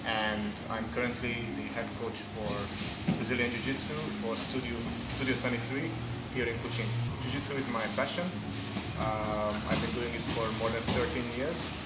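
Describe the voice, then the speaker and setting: Man, 40s, from Hungary, jiu-jitsu coach, flat thoughtful delivery, echoey gym. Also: mid-range voice. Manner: earnest, thoughtful, many ums and uhs. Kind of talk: casual testimonial to camera. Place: large indoor martial arts gym with mats and people training. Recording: echoey gym with loud background noise.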